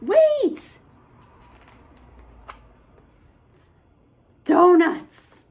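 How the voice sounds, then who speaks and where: Woman voicing a plucky high-pitched little boy. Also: big pitch swings, drawn-out words, darkish tone, heavily acted. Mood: urgent. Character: young brothers, small plucky boys in a storybook.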